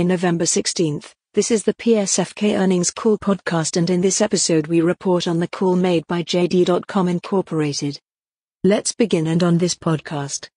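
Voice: medium pitch, a little mellow, breathy edge, no echo, clean studio sound. A podcast narrator reading a scripted business report.

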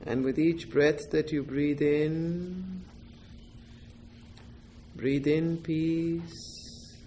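A woman guides a meditation at a very slow pace, in a somewhat low voice for a woman. She gives gentle instructions in short, deliberate phrases, leaving space between them. There is a faint wash of background noise. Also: fairly even intonation. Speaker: meditation teacher.

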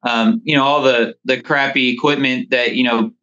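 A man's voice: mid-range voice, fairly even intonation, normal speed, bright, forceful tone. A man in his thirties chatting casually as a podcast guest over the phone, telling stories in a rambling way. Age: 30s. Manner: rambling, frequent "you know". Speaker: podcast guest, lawn care business owner. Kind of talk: casual interview storytelling. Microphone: phone call. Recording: dull, band-limited phone call.

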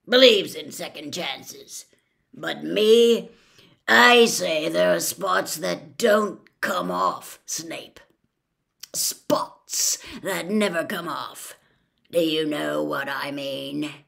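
Woman acting a gravelly, growling old professor. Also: low voice, fairly bright tone, exaggerated voice. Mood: menacing. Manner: slow, sardonic, menacing. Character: gruff, menacing, suspicious old male professor. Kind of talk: audiobook character voice.

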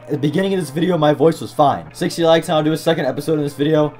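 A young man playing a video game on webcam, swearing and shouting in frustration, his voice growing hoarse. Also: on the high side, rapid-fire, faint music behind. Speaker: gaming YouTuber. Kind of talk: webcam gameplay commentary. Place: small room at a desk. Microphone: desk mic beside keyboard, wearing headset.

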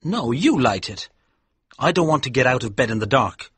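A man voicing a frightened young man who begs not to be left in the dark. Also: medium pitch, very animated, normal speed, breathy edge, no room sound, voice pushed up, heavily acted. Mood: pleading. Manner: pleading, panicky.

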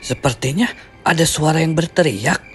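A woman voicing a cautious, protective boy in an animated cartoon. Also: deep voice, moderate pace, natural voice. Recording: music behind the voice.

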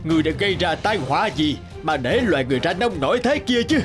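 An actor voicing a stern old emperor of heaven in an animated fairy tale, commanding and scolding in manner. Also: male voice, on the high side, fast talker. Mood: angry, scolding. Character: stern old Jade Emperor, imperious male ruler of heaven. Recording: music behind the voice.

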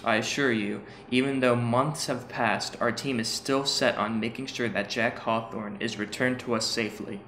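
A man's voice: mid-range voice, steady tone, normal speed, few pauses, fairly bright tone, natural voice. Echoey man acting as an earnest official. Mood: earnest, formal. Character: male official giving an earnest televised statement. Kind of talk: formal scripted public statement. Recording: roomy, noisy recording.

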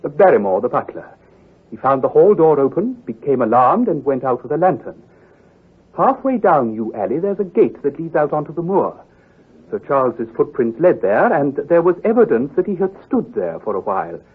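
An actor playing an earnest, troubled country doctor who speaks gravely and precisely, with some hesitation, in old-time radio drama style. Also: male voice, a little high, big pitch swings, moderate pace, full character voice. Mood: earnest, factual. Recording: muffled, husky old radio recording.

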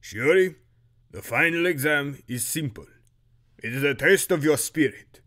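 A man's voice: on the high side, expressive, measured pace, pushed and bright. A man voicing a stern, solemn headmaster in an audio drama, speaking formally and with command. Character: stern, solemn male headmaster of an archer academy.

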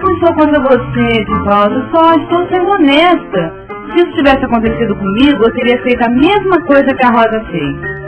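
Nosy, outspoken Brazilian sister, high lively voice, radio soap, dull old recording. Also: female voice, brisk. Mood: frank. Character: Edna, inquisitive, blunt sister pursuing a man. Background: music.

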